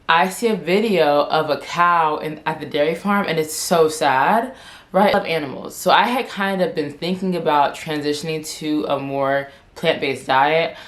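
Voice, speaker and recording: A woman in her twenties telling a story to camera in a chatty, rambling way, full of "like", with a stutter and repeated words. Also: low voice. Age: 20s. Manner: chatty and rambling, heavy use of "like". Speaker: vlogger.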